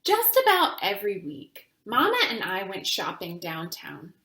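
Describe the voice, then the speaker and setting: A woman reading a children's book aloud in a deliberate, teacherly way, pausing to speak to young listeners. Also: medium pitch, huge pitch swings, bright, forceful tone, reverberant. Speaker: reader of children's books for a virtual summer camp. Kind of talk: read-aloud storytelling with asides to children.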